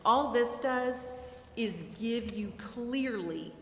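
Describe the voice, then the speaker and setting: A woman giving a careful, deliberate and formal explanation as a legal advisor at a public council meeting. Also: fairly high pitch. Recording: echoey hall, clear background noise.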